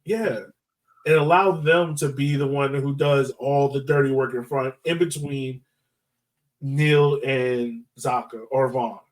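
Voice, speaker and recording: A man's voice: mid-range voice, steady tone, takes it slowly. Distant-miked man chatting casually about sports. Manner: informal, slangy phrasing. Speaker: podcast host. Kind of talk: casual sports analysis chat.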